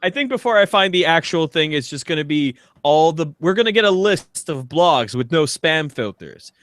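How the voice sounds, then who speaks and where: Man, podcast co-host, animated pitch, dry close sound, casual comedic chat, rambling amused delivery. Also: normal speed, no room sound. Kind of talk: casual comedic chat with mock-dramatic readings.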